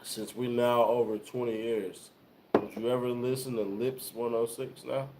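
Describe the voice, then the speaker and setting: A man's voice: mid-range voice, little pitch movement, moderate pace, very noisy. Eager young man who keeps interrupting. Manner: eager, interrupting, repeats himself. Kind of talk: casual chat.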